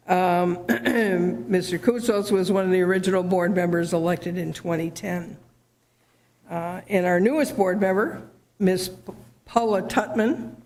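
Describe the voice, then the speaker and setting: A woman who is board president introducing members and staff at a public meeting, with a halting delivery and frequent fillers. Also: fairly low pitch, darkish tone. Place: township meeting room.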